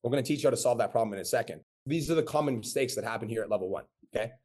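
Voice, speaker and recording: A man's voice: medium pitch, animated, racing, darkish tone. A man teaching a live coaching webinar, blunt and casual with frequent profanity. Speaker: business coach.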